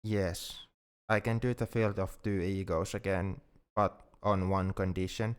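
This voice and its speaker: A man playing a shy, tender, awkward alien in love in an intimate ASMR roleplay, speaking gently and haltingly, often repeating words. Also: low voice, fairly even intonation, clear, resonant tone. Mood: tender, content.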